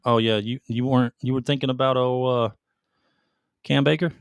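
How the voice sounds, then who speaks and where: A man's voice: medium pitch, steady tone, moderate pace, clean tone. A man co-hosting a gaming podcast, talking informally with banter and some swearing. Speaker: podcast co-host. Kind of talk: casual gaming podcast chat, countdown.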